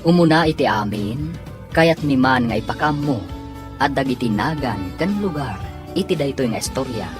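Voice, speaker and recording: Filipino man narrating over loud music. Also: medium pitch, expressive, normal speed. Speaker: narrator introducing a drama series. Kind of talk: scripted drama introduction.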